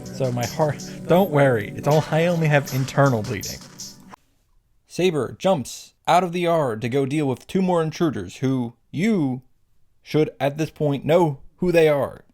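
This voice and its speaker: A man's voice: a little high, a little slow, a little mellow. A man giving casual, scripted commentary as a video creator, wry and joking with sarcastic asides and some swearing. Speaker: video creator recapping and reacting to a game. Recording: music behind the voice. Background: background music.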